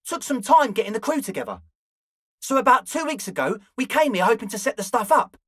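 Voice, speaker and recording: A man's voice: very high voice, big pitch swings, fairly quick, a bit bright, dry recording, clean studio sound, heavily acted. A man voicing an eager, flaky young male slacker ringleader in a video game, talking in an earnest, ingratiating, hedging way. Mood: earnest, evasive. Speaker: voice actor. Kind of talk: video game character dialogue.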